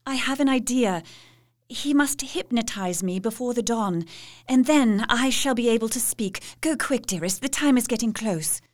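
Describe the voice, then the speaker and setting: An actress playing a haunted, earnest young wife in an audio drama, speaking in a slow, measured way. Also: female voice, fairly high pitch, natural voice. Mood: urgent. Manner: slow, measured, dreamy under trance.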